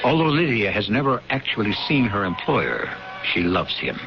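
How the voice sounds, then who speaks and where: A man with a low voice hosts an old radio drama. His scripted narration is portentous and deliberate, slightly muffled, with music playing behind. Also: big pitch swings, vocal fry. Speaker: host and narrator.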